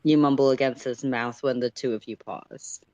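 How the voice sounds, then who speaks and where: Casting: playful female story reader and co-host, expressive delivery, very dry recording. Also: a bass voice, moderate pace, dry recording, lo-fi sound. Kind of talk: fan fiction narration and casual livestream chat.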